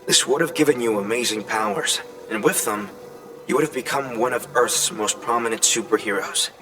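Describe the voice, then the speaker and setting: A man playing an earnest inventor and leader in a comic dub, delivering a grave, measured, pleading message. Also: medium pitch, natural voice, rough recording. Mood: earnest, urgent. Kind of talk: dramatic comic dub acting. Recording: voice over background music. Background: music.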